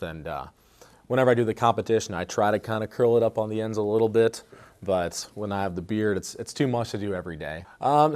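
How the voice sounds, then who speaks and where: A man, a teacher being interviewed, answering casually and rambling a bit with lots of fillers. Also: sits low. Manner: relaxed, rambling with many fillers.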